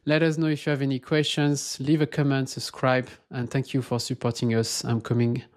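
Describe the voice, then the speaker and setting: A man's voice: mid-range voice, flat delivery, a little fast, a little mellow. Man explaining casually. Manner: loose and conversational, frequent restarts and "okay?" checks. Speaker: supply chain instructor. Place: small indoor room. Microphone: close microphone in front.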